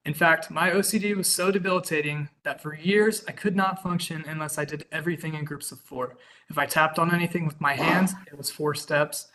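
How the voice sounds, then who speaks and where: Bright-voiced young man testifying by video call. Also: on the high side, fairly even intonation, brisk, slight background noise, poor audio. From Kansas. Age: 20s. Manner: earnest, reads a prepared statement. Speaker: witness giving testimony. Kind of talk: prepared public testimony.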